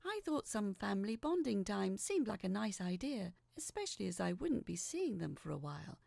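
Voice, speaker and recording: Creaky-voiced woman narrating a dramatic story. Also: mid-range voice, big pitch swings, moderate pace, darkish tone, no echo. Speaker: storyteller reading a letter aloud.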